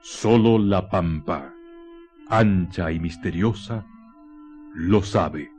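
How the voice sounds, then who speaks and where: Male radio drama narrator, lowish voice, mellow, slow and solemn over soft music. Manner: solemn, deliberate.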